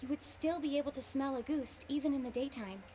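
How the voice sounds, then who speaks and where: A woman's voice: high voice, quick pace, warm tone, close to an everyday voice. A woman delivering scripted video game dialogue in an explanatory manner. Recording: clear background noise and clicking.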